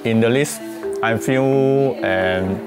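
A middle-aged man with a Hong Kong accent, speaking haltingly and reflectively in an interview, searching for his words. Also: mid-range voice, loud music behind the voice. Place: small book-lined room, indoors.

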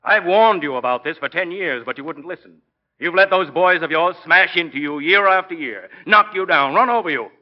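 A blunt, exasperated doctor, acted by a man in 1940s radio style. He scolds emphatically in a somewhat high male voice. The old recording is dull and narrow. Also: lively intonation, normal speed, natural voice. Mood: exasperated, scolding. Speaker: actor in a radio drama.

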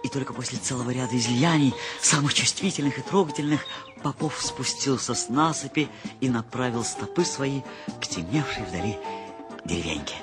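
A man reading a classic short story as expressive scripted narration. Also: medium pitch, moderate pace. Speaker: audiobook narrator. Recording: loud music behind the voice.

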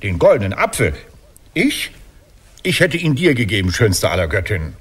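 Radio play: aging Greek hero, silver-tongued rogue, courtly, very animated delivery. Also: male voice, fairly low pitch, moderate pace, breathy edge, full character voice. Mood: wry, flattering. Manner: courtly flattery, hesitant self-justifying. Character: smooth-talking old Greek hero, courtly and roguish. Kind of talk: ironic radio play dialogue.

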